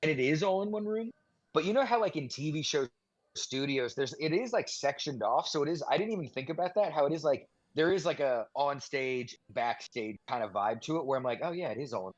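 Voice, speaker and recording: A man's voice: on the high side, lively intonation, a little fast, no room sound. Casual man, dry and slightly dull mic. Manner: informal, musing, loosely phrased. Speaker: podcast co-host. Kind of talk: casual chat.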